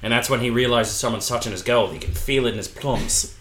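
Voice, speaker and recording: A man's voice: medium pitch, a little fast, roomy sound. A man co-hosting a podcast, chatting casually about a movie and telling jokes with lots of fillers. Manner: animated, loose joke-telling with fillers. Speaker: podcast co-host.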